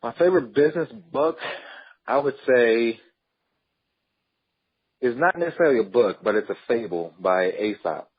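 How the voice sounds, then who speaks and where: A man's voice: medium pitch, expressive, moderate pace, long pauses, slightly rough, dry recording, lo-fi sound. A man telling his story earnestly as a podcast guest, sometimes restarting his words. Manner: earnest, with some repeated starts. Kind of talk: storytelling interview answers.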